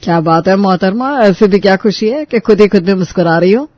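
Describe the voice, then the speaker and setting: A man's voice: high voice, lively intonation, fairly quick, darkish tone, dry recording, dull audio. A man acting an affectionate, appreciative young husband in a family story. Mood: fond.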